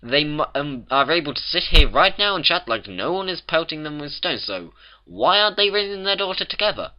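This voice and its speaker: Man doing a high-pitched hysterical young female unicorn. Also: very animated, brisk, lo-fi sound. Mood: indignant.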